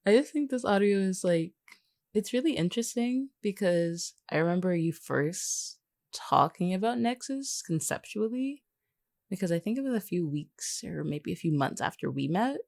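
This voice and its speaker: A woman's voice: medium pitch, lively intonation, normal speed, creaky, a little mellow, no echo. A woman chatting casually as a podcast co-host, rambling and teasing as she goes. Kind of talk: casual behind-the-scenes podcast chat.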